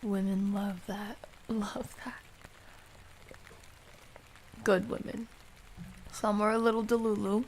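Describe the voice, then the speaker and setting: A woman hosting an ASMR livestream, chatting casually and softly with viewers in a playful, affectionate, unhurried way, sometimes close to a whisper. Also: mid-range voice, flat delivery, a little hiss or hum. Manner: soft-spoken, unhurried, drifting into whispers.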